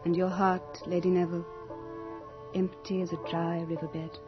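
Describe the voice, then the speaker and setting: An actress playing a young, beautiful female Death in a radio horror drama, theatrical and deliberate, capricious and longing to be mortal. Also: lowish voice, level tone, close to an everyday voice. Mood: solemn. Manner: theatrical, deliberate, breathy at moments. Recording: dull old radio drama with music.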